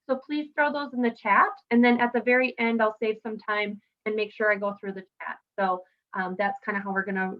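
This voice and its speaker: A woman hosting an online interview in an effusive, enthusiastic manner over a video call. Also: fairly high pitch. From Wisconsin. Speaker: interview host. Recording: dull, lo-fi video call audio.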